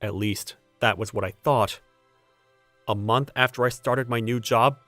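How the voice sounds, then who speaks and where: A man's voice: mid-range voice, measured pace, pushed and bright, no echo, soft background music. A young man playing a polite, cautious new employee, speaking dialogue and first-person narration in a manga dub. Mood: reflective. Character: hesitant, polite young man, newly hired junior employee.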